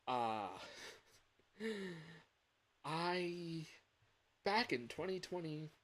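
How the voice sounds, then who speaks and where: A young male streamer talks off the cuff in a mid-pitched voice. He rambles, stops and restarts, often rewording himself halfway through a thought. A little background noise sits under the recording. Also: fairly bright tone. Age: young adult. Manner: rambling, halting, self-correcting mid-sentence. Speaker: livestreamer. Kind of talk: casual chat with stream viewers.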